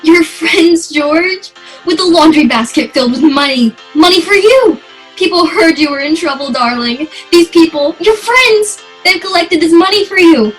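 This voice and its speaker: An actress playing an emotional, sincere wife in an old-time radio drama, speaking in a dramatic, heartfelt way. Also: female voice, extremely high pitch, normal speed, faint music behind, low-quality recording. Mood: relieved, loving.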